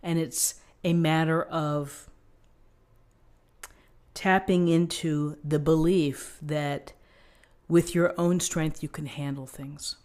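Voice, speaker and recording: A woman giving an unscripted tarot reading, explaining things in a gently encouraging manner. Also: sits low, fairly even intonation, slow. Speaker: tarot reader.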